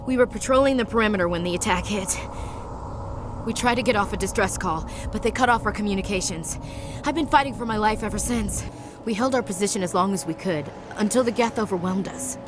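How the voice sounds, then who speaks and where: A tough, dutiful young female soldier, played by an actress in a sci-fi game. The mid-pitched voice is clipped and battle-worn, with music clearly audible underneath. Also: fairly quick, lightly acted. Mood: grim, steady. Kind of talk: cinematic sci-fi game acting.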